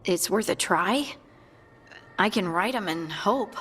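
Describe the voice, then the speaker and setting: An actress playing a friendly, earnest young scout in a video game, speaking informally and a little hesitantly. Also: female voice, medium pitch, expressive, takes it slowly, a bit bright, a little hiss or hum. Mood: hopeful. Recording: long reverb, faint music. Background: faint music.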